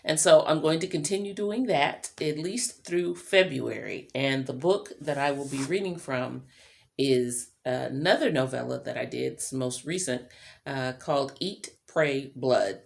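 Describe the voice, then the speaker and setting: Low-voiced woman chatting casually, slightly gravelly. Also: a little slow, a little mellow. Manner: informal, chuckles often, rambling asides. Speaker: vlogger and self-employed writer. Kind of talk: casual chatty vlog monologue.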